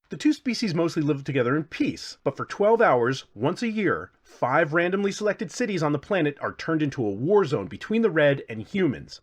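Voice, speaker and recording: A man's voice: fairly high pitch, breathy edge. A man narrating a scripted comedic review as host, with a theatrical, wry, self-deprecating delivery.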